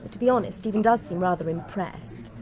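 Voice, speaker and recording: An actress playing a cool, sharp-tongued young widow with an upper-class English accent, clipped and frank, in a radio drama. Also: female voice, medium pitch, a little fast, faint music behind, lightly acted. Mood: dry, irritated.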